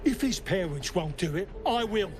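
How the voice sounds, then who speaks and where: An actor playing an angry, bullying villager in a fantasy game, with a hectoring manner. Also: male voice, high-pitched, lively intonation, normal speed, noisy background. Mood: indignant anger. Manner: menacing, hectoring.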